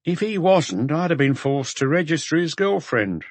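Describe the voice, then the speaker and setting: A weary, blunt hotel keeper, acted by a male narrator for a crime audiobook. The voice is pitched up from a low register and sounds close and dry, answering in short, gruff phrases. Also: sing-song, normal speed, dry recording. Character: unshaven, tired, gruff male hotel owner.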